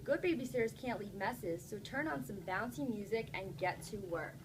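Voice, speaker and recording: A woman's voice: medium pitch, lively intonation, normal speed. A woman teaching young children, reading a story aloud and encouraging them with frequent check-in questions. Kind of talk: interactive classroom read-aloud and discussion. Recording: noisy classroom recording.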